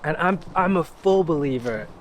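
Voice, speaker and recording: A man's voice: a little high, animated, normal speed, a little hiss or hum. A man hosting a casual interview while walking outdoors, asking eager, curious questions. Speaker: podcast host. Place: outdoors in a park.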